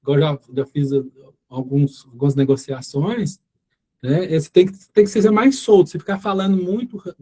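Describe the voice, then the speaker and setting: Middle-aged male instructor with a Goiás accent; a slightly high, warm voice; rambles through the lesson with fillers. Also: moderate pace, breathy edge, low-quality recording. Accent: Goiás Brazilian Portuguese. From Goiás, Brazil. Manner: rambling, many fillers and restarts. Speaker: course instructor. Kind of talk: informal class talk.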